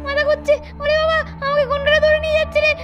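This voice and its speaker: A cheeky young village woman from a doll cartoon. Her voice is extremely high and quick, with a theatrical, scheming manner. Music plays clearly behind her. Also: completely flat delivery. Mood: mock panic. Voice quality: high-pitched. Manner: melodramatic wailing and pleading.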